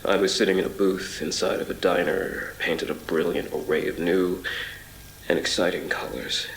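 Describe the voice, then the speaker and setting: An actor playing a haunted man recounting a surreal ordeal in a brooding, literary horror audio drama monologue, full of pauses. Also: deep voice, fairly even intonation, slight background noise. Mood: brooding, uneasy. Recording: reverberant voice over music.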